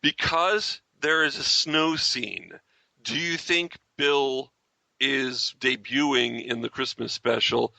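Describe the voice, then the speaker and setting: Guy podcast host, really bright forceful voice w/ some gravel, casual fan chat. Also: medium pitch, takes it slowly, no room sound, poor audio.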